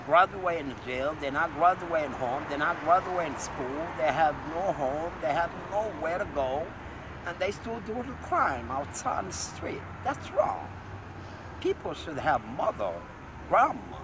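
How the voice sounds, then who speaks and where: Agitated moroccan guy rambling on the street, kinda high voice, loud traffic behind him. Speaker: street interviewee. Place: city street outdoors.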